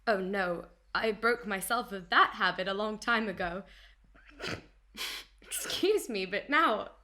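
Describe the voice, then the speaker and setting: A woman's voice: medium pitch, lively intonation, slow, bright, forceful tone. A woman playing a poor, embattled young heroine in a radio drama, proud, defiant and cynical. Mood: bitter. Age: young adult. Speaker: actor in a radio play.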